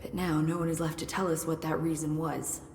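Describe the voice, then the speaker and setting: A woman playing a candid, analytical scientist with dry humour in a video game cutscene, explaining things in a measured way. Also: low voice, level tone, a little mellow. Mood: analytical, wry. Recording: echoey hall, long reverb, background noise.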